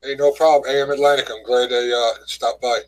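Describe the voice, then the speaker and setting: A man's voice: mid-range voice, level tone, a little fast, slight background noise. A middle-aged man co-hosting a live stream over a video call, chatting casually and greeting viewers by name. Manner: easygoing, greets viewers in chat. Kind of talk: casual chat.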